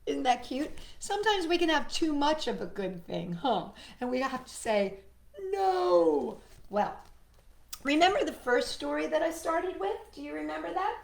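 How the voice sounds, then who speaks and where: A woman's voice: sits high, very animated, moderate pace, gravelly, roomy sound. A woman hosting a storytime for young children, reading and singing in a playful way and addressing her listeners directly. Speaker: children's storytime host with a puppet sidekick.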